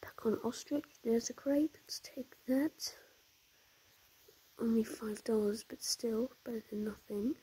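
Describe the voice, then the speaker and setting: A woman with a croaky voice, chatting in half-whispers while commenting on a mobile game she plays. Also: a little high, measured pace, mellow, low-quality recording. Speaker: gameplay commentator.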